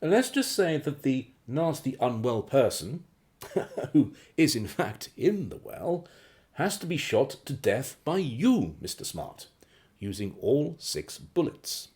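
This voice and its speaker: An actor in a radio play playing a suave, menacing crime boss with a mockingly genteel, condescending and drawn-out delivery. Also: male voice, mid-range voice, big pitch swings, darkish tone. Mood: silky menace. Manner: theatrical, condescending, chuckling politeness with drawn-out phrases.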